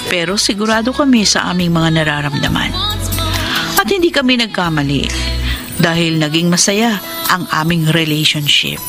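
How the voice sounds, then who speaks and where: A woman's voice: fairly low pitch, expressive, moderate pace, natural voice. A Filipino woman acting in a radio drama as a devoted, hopeful wife, speaking earnestly and tenderly. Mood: earnest, reflective. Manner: earnest, tender, sentimental delivery. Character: devoted, hopeful wife living with HIV, caring for her husband. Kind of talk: Filipino radio drama acting and first-person letter narration. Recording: radio drama with music underneath.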